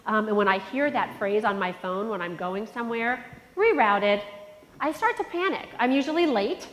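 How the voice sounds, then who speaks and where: A woman's voice: mid-range voice, lively intonation, normal speed. Nasal woman speaking in a reverberant hall. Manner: personal storytelling, addresses the audience directly. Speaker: conference keynote speaker. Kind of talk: motivational speech. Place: large conference hall. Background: long reverb of a large hall.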